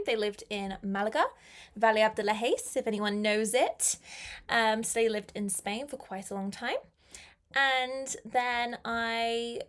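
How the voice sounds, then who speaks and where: A woman's voice: a little high, fairly bright tone. A young woman, a teacher chatting casually on a live stream, answering viewers' comments and laughing often. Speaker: English teacher.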